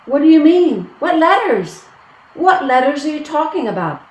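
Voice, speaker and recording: A woman playing an anxious, protective Irish village woman, pleading deliberately in a stage play reading. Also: high voice, big pitch swings, a little mellow. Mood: puzzled. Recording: roomy, echoing stage-reading sound.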